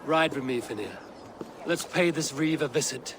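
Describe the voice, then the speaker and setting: An actor playing a resolute Viking war leader in a video game, blunt and commanding. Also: male voice, a little high, moderate pace, natural voice. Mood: stern. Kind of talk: video game drama acting. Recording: clear outdoor game ambience noise.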